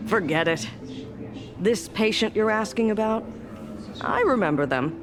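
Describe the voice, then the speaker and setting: A woman's voice: medium pitch, animated, unhurried, a crowd in the background, close to an everyday voice. A woman voicing a sardonic, exasperated female doctor in a game, speaking in a sarcastic, weary way. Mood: recalling. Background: game music.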